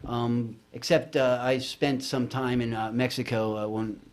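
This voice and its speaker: A man from San Bernardino hosting a casual talk show, enthusiastic and halting, often repeating words as he goes. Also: medium pitch, flat delivery, a little mellow. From San Bernardino, California. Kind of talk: casual chat.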